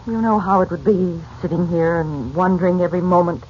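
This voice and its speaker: A woman's voice: lowish voice, fairly quick, a little mellow, close to an everyday voice. An actress playing a worried, practical mother who is wary of magic in a 1940s radio serial, speaking earnestly and firmly. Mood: earnest, hopeful. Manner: earnest, proper, firm. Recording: muffled, noisy 1940s radio recording.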